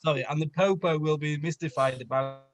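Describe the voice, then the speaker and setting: A man's voice: on the high side, a little fast, soft background music. A man reading a story aloud for comedy with dramatic delivery, stumbling and correcting himself. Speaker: co-reader on a comedy stream.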